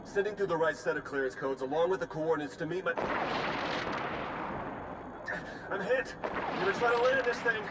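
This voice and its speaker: Against loud vehicle and outdoor noise, an actor plays an earnest young male spy in a sci-fi game. His voice sits on the high side for a man and sounds harried and urgent. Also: fast, natural voice. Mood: strained, alarmed. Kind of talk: dramatic game cutscene acting. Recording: noisy, with vehicle and outdoor rumble.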